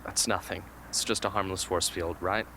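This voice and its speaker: A man playing an earnest, self-deprecating and quietly defiant teenage boy tribute in an amateur radio drama. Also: low voice, fairly even intonation, normal speed, noisy background. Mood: gentle.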